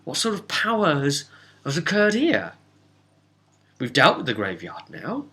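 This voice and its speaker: A man's voice: a little high, huge pitch swings, measured pace, bright, forceful tone, voice pushed up, full character voice. A man voicing a bewildered, alarmed fantasy adventurer in a tabletop game, exclaiming with urgent disbelief.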